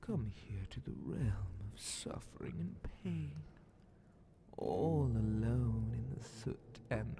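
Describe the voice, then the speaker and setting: A man voicing a sly, menacing demon Grand Vizier of hell in a breathy, theatrical half-whisper for an ASMR horror fantasy roleplay. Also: mid-range voice, mellow, a little hiss or hum. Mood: eerie, predatory. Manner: slow, theatrical, drawn-out, mockingly polite.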